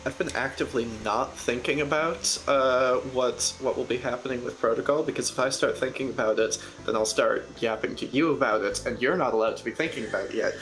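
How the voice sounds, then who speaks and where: A man with a middle-pitched voice talks casually among a group. His manner is wry and thoughtful, and music plays in the background. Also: a little fast, hardly any pauses, fairly bright tone, low-quality recording. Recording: background music under the talk.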